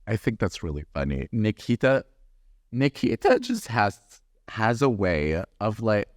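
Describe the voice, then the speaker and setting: A man with a Swiss accent teases his co-host and acts out quotes with a playful edge. His pitch swings widely, and the sound is dry and close. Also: huge pitch swings, unhurried, no room sound. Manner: teasing, playful, theatrical quoting. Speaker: drag queen podcast co-host. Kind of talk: casual chat reviewing a show.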